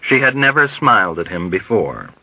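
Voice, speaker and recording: A man's voice: lowish voice, very animated, normal speed, no room sound. Man narrating a novel, dull dry recording. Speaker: audiobook narrator. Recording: dull, dry, band-limited audio.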